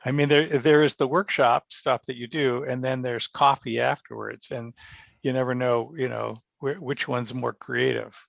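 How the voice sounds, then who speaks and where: Man, lower-pitched gravelly voice, casual interview guest, thoughtful, halting delivery. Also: steady tone, a bit bright, dry recording. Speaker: podcast guest, amateur theater member. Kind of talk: casual reflective interview conversation. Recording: dry, dull lo-fi sound.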